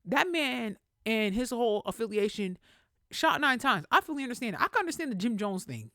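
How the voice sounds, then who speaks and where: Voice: mid-range voice, sing-song, fairly quick, bright, forceful tone, no echo, studio quality. A podcast host giving casual, opinionated celebrity commentary in a conversational, emphatic way with plenty of slang.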